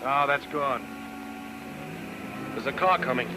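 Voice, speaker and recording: An actor playing a wisecracking, cool-headed detective with a wry, clipped delivery in 1940s film noir style. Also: male voice, medium pitch, slow pace, heavy background noise, lightly acted. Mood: urgent. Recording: old film soundtrack under loud music.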